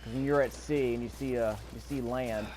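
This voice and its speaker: A man's voice: mid-range voice, fairly even intonation, fairly quick, darkish tone, poor audio. A man giving casual, off-the-cuff gameplay commentary, musing aloud and repeating his phrases. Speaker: gaming video host. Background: faint video game ambience.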